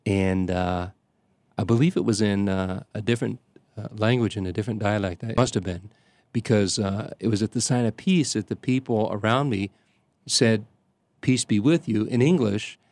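An older man, a priest from the Chicago area, telling stories in casual conversation, halting often with fillers and restarts. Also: on the low side, a little mellow, no room sound. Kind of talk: conversational storytelling.

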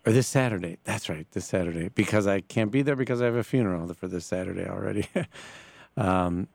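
Halting male radio host, low dry voice. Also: vocal fry, a little mellow, no room sound. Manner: halting, hesitant, stammering delivery. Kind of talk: casual live radio interview. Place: radio broadcast from a church.

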